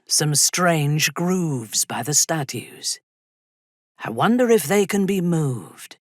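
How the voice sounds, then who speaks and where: A woman voicing a ruthless, sensual female warrior commander in a fantasy video game, with a husky, imperious and measured menacing delivery. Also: deep voice, lively intonation, no room sound, clean studio sound, full character voice. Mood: measured, watchful. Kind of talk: dramatic fantasy game dialogue.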